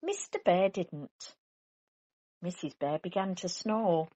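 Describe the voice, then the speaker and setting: A woman reading a children's picture book aloud in a deliberate, animated storytelling manner. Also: on the low side, slight background noise. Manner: deliberate, animated, with character sounds. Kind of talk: expressive children's story narration.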